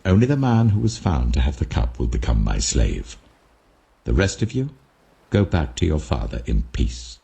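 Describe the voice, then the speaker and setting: A man voicing a stern, authoritative governor with measured delivery in a dramatic scripture reading. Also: rumbling low, big pitch swings, creaky, dark tone, pitched below the performer's own voice, exaggerated voice. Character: commanding, stern male governor of ancient Egypt.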